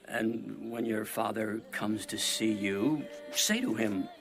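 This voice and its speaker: An actor playing a crafty, scheming friend of a prince, measured and insinuating, in a dramatized audio Bible. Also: male voice, on the low side.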